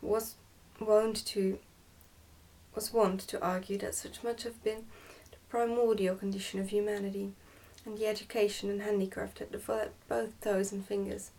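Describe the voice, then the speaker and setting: A woman reading a children's story aloud in ASMR style, soft-spoken and sometimes near a whisper. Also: mid-range voice, distant mic, poor audio. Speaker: ASMR book reader.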